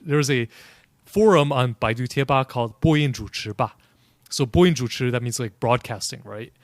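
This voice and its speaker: A man's voice: mid-range voice, moderate pace, no echo. A man speaking in a mid-range voice, recounting his experiences as an interview guest. He tells them earnestly and often checks in with the listener. The recording is close and dry, with no room sound. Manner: earnest, keeps checking in with tag questions. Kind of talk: conversational storytelling.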